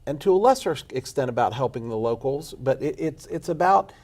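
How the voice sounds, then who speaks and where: A man hosting a local TV panel discussion, moving briskly between topics and panelists. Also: mid-range voice, expressive, darkish tone. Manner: brisk, chatty moderator. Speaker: panel show host.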